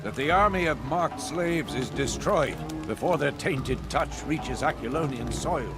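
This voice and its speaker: A man's voice: a little high, animated, a little slow, over music. An actor playing an old, duty-burdened royal agent in a fantasy game, earnest and theatrical in his delivery. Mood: grave greeting. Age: older. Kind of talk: dramatic video game voice acting.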